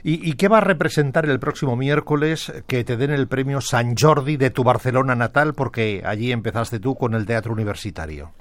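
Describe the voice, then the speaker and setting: A man's voice: medium pitch, brisk, hardly any pauses, no echo. A man interviewing a guest, asking friendly, encouraging questions. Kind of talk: radio interview.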